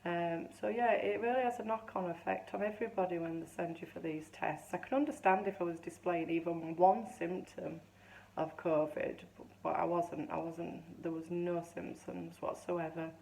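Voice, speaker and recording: A woman in her fifties with a low, gravelly voice that is still a touch hoarse. She talks in a chatty, rambling way, often restarting her sentences as she tells her story. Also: fairly even intonation, normal speed, few pauses, darkish tone, poor audio. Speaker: vlogger. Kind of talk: casual chatty vlog.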